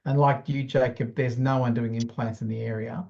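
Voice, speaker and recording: A man's voice: medium pitch, level tone, normal speed, vocal fry, darkish tone. Man speaking casually, dull muffled audio. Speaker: course participant, dentist. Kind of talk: casual self-introduction to a group.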